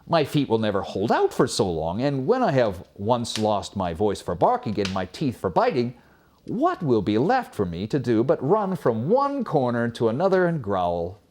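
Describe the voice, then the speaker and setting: A man's voice: medium pitch, wildly swooping pitch, normal speed, a little mellow. A man voicing an anxious, complaining dog in a storybook fable, with plaintive, old-fashioned formal speech. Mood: anxious protest.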